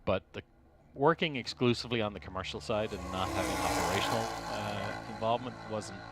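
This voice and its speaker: A middle-aged man from Dublin with an Irish accent, talking as an interview guest at a race track, pausing often and restarting his sentences. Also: lowish voice, expressive, loud music behind the voice. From Ireland. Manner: hesitant, many restarts and fillers. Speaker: interview guest, racing team executive. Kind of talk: casual interview. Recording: faint noise at a race track.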